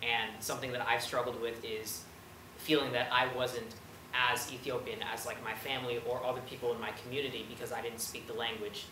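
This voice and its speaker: A man's voice: mid-range voice, flat delivery, fairly quick, sharp tone. A young man from the United States, an audience member making a casual, rambling personal comment and question with many hesitations. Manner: hesitant, rambling, filler-heavy. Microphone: distant camera mic. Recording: roomy, distant mic, noisy background.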